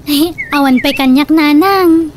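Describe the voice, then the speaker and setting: A woman's voice: high-pitched, unhurried, noisy background. A woman playing a picky, complaining unmarried daughter in a comedic Ilocano radio drama.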